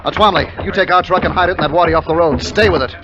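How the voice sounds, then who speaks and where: An actor playing a brisk, commanding army captain in a 1950s radio drama, speaking in a clipped, authoritative way. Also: male voice, a little high, noisy background, close to an everyday voice. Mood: urgent, commanding. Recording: muffled old radio recording with background music.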